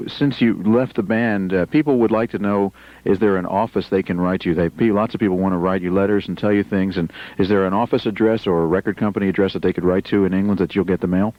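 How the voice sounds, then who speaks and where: A man's voice: low-pitched, fairly even intonation, quick pace. A man hosting a live radio show, friendly and stammering through his questions to callers. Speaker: radio host. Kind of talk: live on-air phone interview. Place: radio studio. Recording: dull broadcast radio recording.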